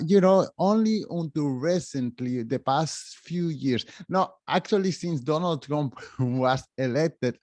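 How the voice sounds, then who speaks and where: A man hosting a podcast, introducing his guest and asking questions with animated emphasis. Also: mid-range voice, no room sound. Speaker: podcast host. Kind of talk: podcast interview.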